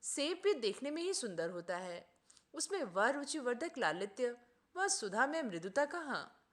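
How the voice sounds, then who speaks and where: A woman voicing an indignant gentleman doctor from a storybook, in a dramatic, formal, emphatic manner. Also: fairly high pitch, big pitch swings, measured pace. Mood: aggrieved. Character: indignant, melodramatic gentleman doctor, master of an orchard.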